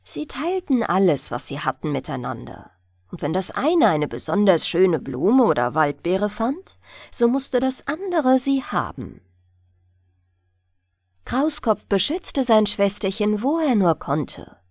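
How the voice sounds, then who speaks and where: A woman narrating a bedtime fairy-tale audiobook in an expressive storytelling style, close to the mic. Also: medium pitch, unhurried, no room sound.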